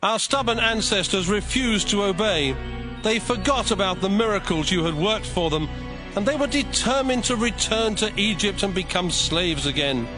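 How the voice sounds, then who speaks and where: An actor playing a devout Levite priest leading public prayer in a declamatory, reverent dramatized scripture reading. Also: male voice, high-pitched, lively intonation, moderate pace, over music. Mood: solemn confession.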